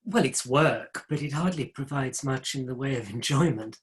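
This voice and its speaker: Man playing earnest young Victorian gentleman. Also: medium pitch, moderate pace, close to an everyday voice. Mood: reluctant. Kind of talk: dramatic play reading.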